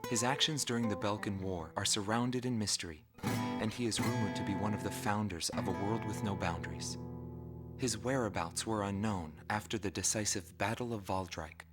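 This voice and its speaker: An actor playing a grave documentary reporter, delivering solemn scripted narration about a war's aftermath. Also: male voice, fairly low pitch, moderate pace, loud music behind the voice, lightly acted. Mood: factual, sober.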